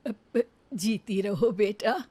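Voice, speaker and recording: Woman acting a gentle, humble village mother-in-law. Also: medium pitch, slow, husky, no echo. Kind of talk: cartoon moral-story acting.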